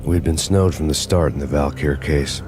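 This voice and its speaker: An actor playing a weary, hard-boiled fugitive cop, narrating a noir video game in a terse deadpan. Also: male voice, very deep voice, moderate pace, music in the background. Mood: grim, deadpan.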